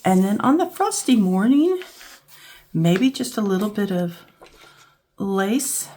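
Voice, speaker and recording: A woman with a scratchy, hoarse voice from a cold, casually talking through a craft project as she works, thinking aloud. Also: medium pitch, slow pace. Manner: relaxed, thinking aloud while working with her hands. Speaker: craft channel host. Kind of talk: casual crafting tutorial narration.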